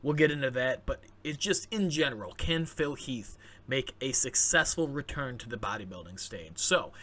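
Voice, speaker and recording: A man's voice: medium pitch, expressive, normal speed, a bit bright. A man hosting a fitness channel, giving chatty, enthusiastic commentary straight to his audience with plenty of fillers and restarts, his voice turning a little scratchy. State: voice going scratchy. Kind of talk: casual commentary to camera.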